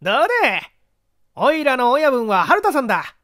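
A narrator voicing a brash, loyal male henchman with a cocky, rough, slangy swagger. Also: high voice, big pitch swings, normal speed, a bit bright, dry recording, clean studio sound, voice pushed up. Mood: proud, brash.

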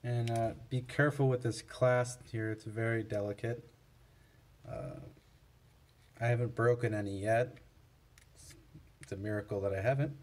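A man giving a casual, unscripted product comparison in a halting, matter-of-fact way. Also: mid-range voice, fairly even intonation, darkish tone. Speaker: product reviewer for a scanner seller.

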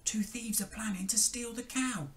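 A storyteller voicing a small ladybird in a hushed, secretive, breathy way for a children's storybook. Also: medium pitch, fairly even intonation, normal speed, distant mic, noisy background, voice pushed up, lightly acted. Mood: secretive, urgent. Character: small ladybird whispering a plan to farm animals.

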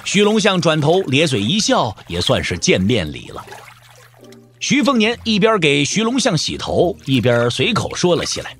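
Highly animated, higher-pitched male narrator. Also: moderate pace, slight background noise. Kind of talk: dramatic scripted audiobook narration.

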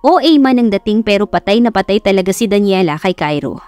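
A woman's voice: medium pitch, animated, fairly quick, a little mellow, no room sound, soft background music. A woman with a Filipino accent reading a scripted romance story as an audiobook narrator.

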